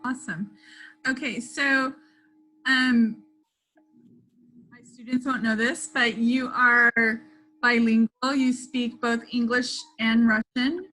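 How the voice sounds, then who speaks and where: A woman teacher interviewing a guest, asking questions slowly with encouraging short replies. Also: a little high, steady tone, sharp tone, a little hiss or hum, low-quality recording. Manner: slow, encouraging, short affirmations.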